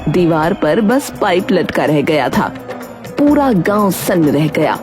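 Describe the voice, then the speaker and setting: A woman tells a scripted cartoon tale as narrator. Her intonation is lively and dramatic, moving freely up and down. Music plays clearly behind her. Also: lowish voice, takes it slowly. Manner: dramatic storytelling. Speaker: story narrator. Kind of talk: scripted narration of a Hindi cartoon moral story.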